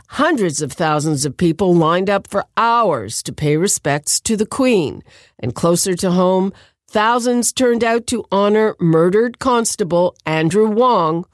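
Female radio host, unhurried, measured questioner, dry close sound. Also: mid-range voice, lively intonation, dry recording. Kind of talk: radio interview.

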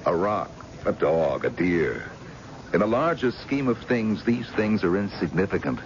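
An actor playing an evasive, irritable husband with a blustering, defensive manner in a 1970s radio drama. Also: male voice, low-pitched, animated, unhurried. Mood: defensive, irritated. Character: evasive, irritable husband hiding a hit-and-run. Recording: dull, noisy old radio broadcast recording.

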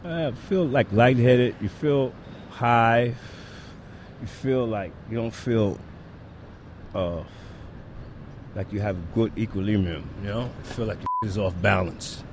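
A man giving a candid, halting street interview in plain colloquial words, recorded outdoors. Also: on the low side. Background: vehicle and street noise.

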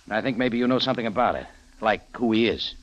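An actor playing a tough, wry American adventurer with a terse hard-boiled delivery, in 1940s radio drama style, on a dull old recording. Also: male voice, on the low side, fast, no room sound, natural voice. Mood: probing. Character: tough, wry American adventurer narrating his own case.

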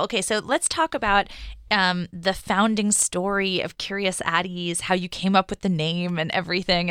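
A woman with a smooth, clear voice hosts an interview in a conversational style. Her pitch is slightly low, and the sound is dry, with very little room around it. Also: a bit bright, dry recording. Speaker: interview host.

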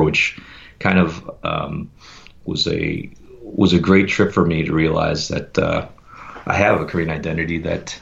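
A man telling his story as a podcast guest in a relaxed, reflective way, pausing often with fillers. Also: extremely low pitch, vocal fry, highs cut off. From United States. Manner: reflective, meandering with many fillers. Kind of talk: casual conversational interview.

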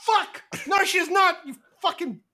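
A woman's voice: on the high side, wildly swooping pitch, fast talker, fairly bright tone, pitched above the performer's own voice. A woman voicing a crude, hot-tempered teenage girl who hurls cursing insults. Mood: furious.

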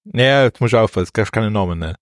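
A man's voice: fairly low pitch, expressive, rapid-fire, no room sound. Male co-host, dry sound. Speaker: podcast co-host. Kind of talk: casual banter.

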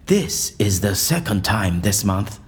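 Deep, theatrical, eccentric surgeon. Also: male voice, sing-song, slow pace. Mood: nonchalant, dry. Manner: theatrical, formal, dryly dismissive. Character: eccentric, theatrical, unflappable male surgeon in custody. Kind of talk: audio drama acting.

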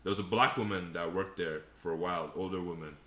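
A man's voice: low voice, fast talker, fairly bright tone. A man from Nigeria telling stories in casual chat, laughing often and acting out other people's lines. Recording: roomy echoey room.

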